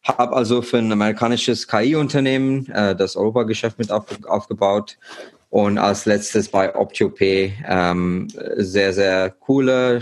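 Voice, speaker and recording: Hesitant Indian-born man, low voice. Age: 30s. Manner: hesitant, many fillers and restarts. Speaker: startup founder, guest. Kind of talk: podcast interview.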